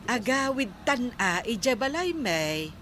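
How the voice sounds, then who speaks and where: A woman's voice: fairly high pitch, sing-song, takes it slowly, a bit bright, slight background noise, full character voice. A woman playing a dutiful, patient wife and niece in an audio drama, speaking placatingly with an Ilocano accent. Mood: reassuring. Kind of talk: regional audio drama acting.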